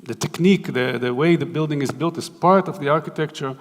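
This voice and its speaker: Israeli man lecturing in a reverberant hall. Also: fairly high pitch. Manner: halting, many restarts and fillers. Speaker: guest lecturer. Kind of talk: architecture lecture.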